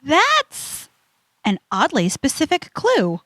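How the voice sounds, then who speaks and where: A woman playing an earnest young adventurer girl in a children's audio drama, instructive and deliberate. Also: high-pitched, huge pitch swings, bright, forceful tone, no echo, exaggerated voice. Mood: excited.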